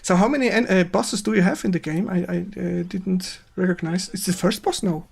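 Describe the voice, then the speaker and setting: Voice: mid-range voice, a little fast, vocal fry, darkish tone, slightly rough. A game stream host with a German accent, chatting casually and searching for words in a second language.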